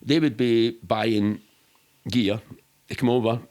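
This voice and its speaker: A man's voice: mid-range voice, steady tone, slow, darkish tone. A middle-aged man with a Glaswegian accent, telling rambling, sweary, matter-of-fact anecdotes in casual interview chat. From Scotland. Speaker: podcast guest.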